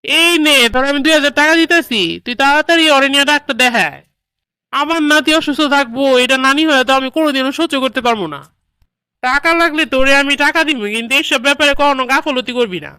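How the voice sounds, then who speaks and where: A woman's voice: high voice, quick pace, sharp tone, dry recording. A woman voicing a bossy, fussing grandmother in a comedy cartoon, with a Bangladeshi accent and a commanding, insistent manner. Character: bossy, fussing grandmother, doting mother-in-law. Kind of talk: comedy cartoon dialogue in colloquial dialect.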